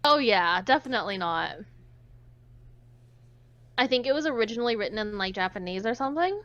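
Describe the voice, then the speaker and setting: A woman's voice: medium pitch, expressive, a little fast, fairly bright tone. A woman reading a visual novel aloud with dramatic delivery and sarcastic, irreverent asides, on a slightly dull recording. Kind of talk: dramatic read-aloud with casual joking asides.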